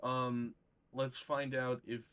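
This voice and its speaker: A man in a casual, opinionated discussion, speaking haltingly and repeating phrases for emphasis. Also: mid-range voice, flat delivery, a bit bright, smooth.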